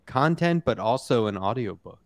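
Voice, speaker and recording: A man hosting a casual political podcast, talking in a halting, conversational way as he interviews his guests. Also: mid-range voice, lively intonation, no room sound.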